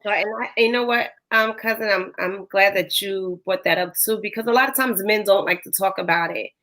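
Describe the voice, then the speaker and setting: Hoarse woman hosting a chatty podcast. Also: mid-range voice, a little fast, pushed and bright. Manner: chatty, filler-heavy. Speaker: podcast host. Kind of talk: casual chat.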